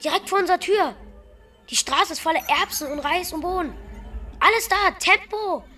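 An actress playing an excitable, bossy neighbour woman in postwar Germany, blunt and exclamatory in a radio drama. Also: extremely high pitch, moderate pace, faint music behind. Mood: alarmed, urging.

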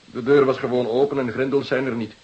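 A man's voice: medium pitch, flat delivery, fast, darkish tone, highs cut off, natural voice. A man playing an earnest, dutiful junior police detective in a Dutch radio drama, explaining eagerly and repeating himself. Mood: matter-of-fact.

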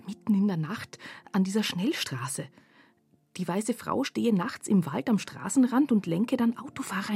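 A sardonic female observer speaks in a literary radio play. Her voice is fairly low and husky, very dry, with faint music around it. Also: darkish tone, heavily acted.

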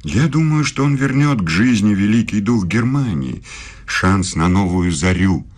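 An actor in a radio play voicing a self-assured, evasive German philosophy professor who speaks in a measured, pontificating way. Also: male voice, lowish voice, animated. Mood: fervent, lofty.

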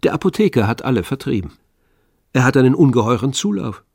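A man narrating a tragic novel for a radio play in a measured, literary manner. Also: medium pitch, sing-song, gravelly, darkish tone, no room sound. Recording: dry studio with faint music.

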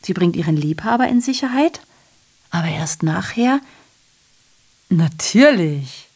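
A woman's voice: fairly low pitch, expressive, a little slow, darkish tone, breathy edge, full character voice. A woman acting a sardonic messenger in a literary radio play, declaiming ironically and constantly correcting herself. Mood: wry, detached. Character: sardonic female messenger reporting atrocities, digressive and self-correcting. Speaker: radio drama performer. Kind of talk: literary radio play monologue, theatrical narration.

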